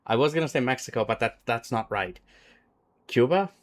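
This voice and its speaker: A man's voice: mid-range voice, lively intonation, normal speed. A man streaming a racing game, chatting casually with viewers, thinking aloud and apologizing as he guesses. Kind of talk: casual livestream chat while playing a racing game. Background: game music and engine noise.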